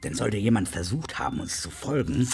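An actor playing a kindly, secretive, homely talking beaver with a folksy manner in an audio drama. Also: male voice, on the low side, lively intonation, a little fast, gravelly, a little mellow, a little hiss or hum. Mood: warm, busy. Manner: conspiratorial, modest, folksy. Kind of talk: German audio drama acting. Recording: music under the voice.

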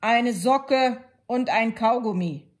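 A woman's voice: on the high side, slow pace, voice pushed up. A woman voicing a bored, sulky little girl in a storybook, grumbling in blunt lists.

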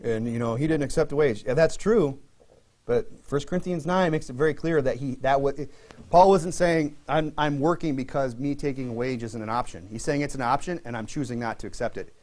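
A man's voice: medium pitch, brisk, darkish tone. A middle-aged man preaching a sermon from a pulpit on a church stage, reading scripture aloud and restarting phrases as he explains. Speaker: pastor. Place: church stage before a dark curtain.

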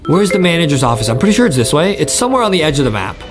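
A man in his twenties giving excited gameplay commentary, eagerly repeating his phrases. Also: fairly high pitch, very fast. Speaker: gaming video host. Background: faint game music.

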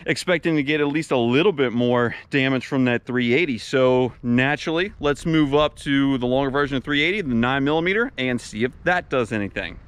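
A man's voice: medium pitch, fairly bright tone, clean tone. A man in his thirties talking casually to camera outdoors as a vlog host, with a laid-back, wry, informal manner. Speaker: host of a shooting channel. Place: outdoors at a wooded backyard shooting range.

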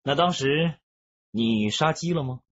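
An actor playing a probing, authoritative police detective in a Chinese crime radio drama. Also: male voice, a little high, expressive, unhurried, muffled recording.

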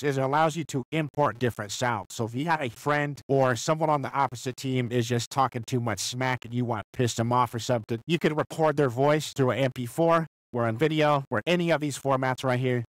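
A young man voicing a goofy cartoon dad through an AI voice changer, giving a software tutorial. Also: medium pitch, no echo. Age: young adult. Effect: real-time AI voice changer.

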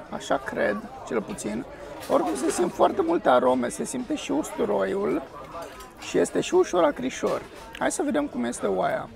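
Young man with a high, gravelly voice. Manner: enthusiastic, exclaims while tasting. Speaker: food vlogger. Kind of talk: casual food review to camera. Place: busy rooftop restaurant terrace, outdoors. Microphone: camera on the table. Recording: crowd chatter on a terrace. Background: diners chattering.

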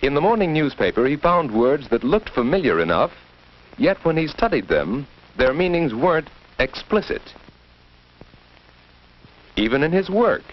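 A man's voice: on the high side, big pitch swings, moderate pace, long pauses. Male American narrator, very wide melodic range, vintage classroom-film script, dull soundtrack. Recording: muffled 1940s film soundtrack.

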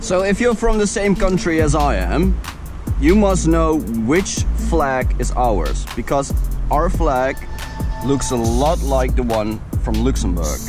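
A man's voice: medium pitch, slow pace. A young man from the Netherlands with a Dutch accent, chatting casually and joking while he paints graffiti outdoors. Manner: relaxed, chatty, joking asides. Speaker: graffiti artist and video creator. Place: outdoors by a wall among trees. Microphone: action camera. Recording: outdoor camera audio with music.

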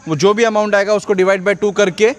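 Indian man, slightly high-pitched vlog host. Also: fairly even intonation, a little fast. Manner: code-switches into English phrases. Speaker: vlogger and interviewer. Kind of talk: casual vlog chat, asking questions. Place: outdoors in an open dirt farm yard.

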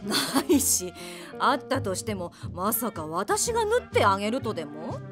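An actress playing a brash, flashy snack-bar mama who talks bossily and sharp-tongued, in an audio drama with music behind. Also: female voice, mid-range voice, wildly swooping pitch, moderate pace. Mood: curt.

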